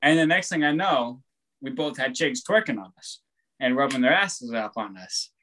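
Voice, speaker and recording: A young man chatting casually, rambling and laid-back, who swears freely and talks loud. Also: mid-range voice, animated, normal speed, slight background noise. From born on the West Coast, raised on the East Coast of the US. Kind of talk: casual chat.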